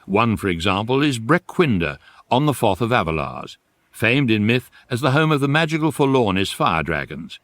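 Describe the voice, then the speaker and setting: An actor playing a wry guidebook narrator in a radio comedy, measured and deadpan in his storytelling. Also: mid-range voice, no echo.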